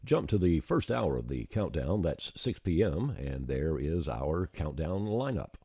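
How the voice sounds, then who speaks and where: A man presenting a software tutorial as a step-by-step screencast voice-over, plain-spoken and informal, on a close mic. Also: extremely low pitch, big pitch swings, unhurried, vocal fry, breathy edge, no room sound.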